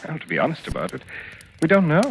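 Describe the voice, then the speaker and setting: Probing, animated police sergeant. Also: male voice, fairly high pitch, exaggerated voice. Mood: suspicious, accusing. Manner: deliberate, halting, insinuating. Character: probing male police detective sergeant, polite but suspicious. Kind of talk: old-time radio drama acting. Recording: vintage radio recording, faintly noisy.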